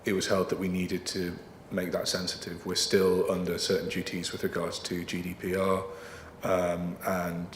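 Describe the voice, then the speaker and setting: A man's voice: deep voice, completely flat delivery, normal speed, echoey room, long reverb tail, slight background noise. A man working as a planning officer, explaining procedure formally and carefully, with frequent ums. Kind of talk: explaining procedure in a council meeting.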